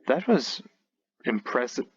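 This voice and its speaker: A man giving casual game commentary and review as a video host, often pausing mid-sentence. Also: medium pitch, big pitch swings, slightly rough, no echo. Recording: muffled lo-fi mic, loud background noise.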